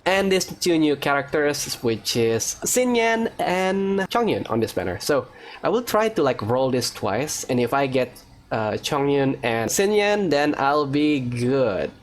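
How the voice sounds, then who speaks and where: A man's voice: medium pitch, very animated, unhurried, faint music behind. A man hosting a let's-play, giving informal gaming commentary and thinking aloud. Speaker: gaming video host. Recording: faint background noise.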